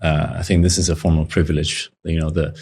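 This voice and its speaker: A young man who grew up in Cyprus, whose first language is Bulgarian, talking through his story in a relaxed, reflective way with lots of fillers. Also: extremely low pitch, fairly quick, breathy edge. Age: young adult. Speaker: guest. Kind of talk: casual interview conversation.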